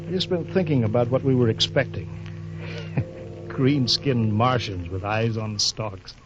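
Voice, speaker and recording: A man's voice: mid-range voice, measured pace, music in the background, lightly acted. An actor playing an earnest, plain-spoken male spaceship captain in 1950s radio drama style. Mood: wistful, skeptical. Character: hearty, practical male spaceship captain, homesick and skeptical. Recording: dull, noisy 1950s radio recording.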